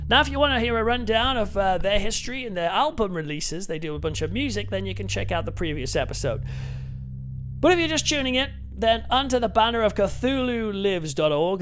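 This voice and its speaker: A man hosting a review show in a chatty, enthusiastic, conversational way. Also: high voice. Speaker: video review host.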